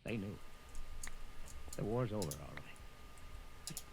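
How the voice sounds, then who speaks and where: A slow, grave doomed prisoner. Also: male voice, on the low side, lively intonation, gravelly, heavily acted. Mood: grim resignation. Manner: halting, grave. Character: weary, doomed military translator held prisoner, grimly resigned. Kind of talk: 1950s science-fiction radio drama acting. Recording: faintly noisy old radio recording.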